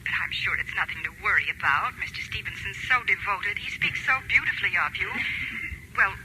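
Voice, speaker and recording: An actress playing a courteous, discreet secretary in a 1940s radio drama, speaking with careful hesitation. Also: female voice, medium pitch, expressive, a little fast, natural voice. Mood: apologetic. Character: prim, polite secretary, apologetic and discreet. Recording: noisy vintage radio broadcast.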